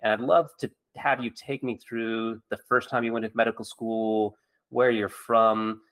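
A man's voice: fairly low pitch, level tone, normal speed, fairly bright tone. A man speaking as a podcast host and doctor, interviewing in a conversational style.

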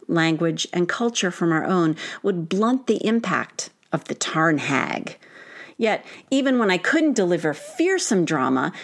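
A middle-aged woman, an author, reading her own essay as scripted narration with expressive, deliberate phrasing. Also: lowish voice.

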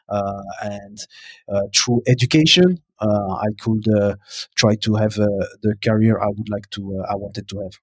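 A man from Brussels, answering interview questions in a halting, word-searching way. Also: fairly low pitch, slightly rough, dry recording. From Brussels, Belgium. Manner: hesitant, halting, searching for words. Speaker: podcast guest, consultant.

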